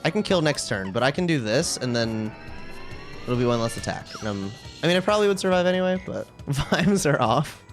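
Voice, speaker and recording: A man's voice: on the high side, sing-song, fairly quick, soft background music. A man livestreaming a video game, chatting casually with viewers and commenting on play in a wry, ranting way.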